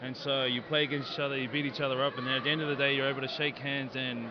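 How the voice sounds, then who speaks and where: New Zealand rugby captain, easygoing. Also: male voice, mid-range voice, flat delivery, racing, muffled recording, noisy background. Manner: easygoing, laughs often, optimistic. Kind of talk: casual outdoor interview.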